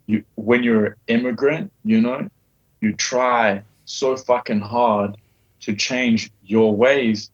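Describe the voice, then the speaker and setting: A man's voice: mid-range voice, steady tone, takes it slowly, muffled recording. A man in his thirties with a faint South African accent, a podcast guest telling his story candidly and swearing freely. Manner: candid, swears freely, repeats "you know what I mean". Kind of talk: casual interview storytelling.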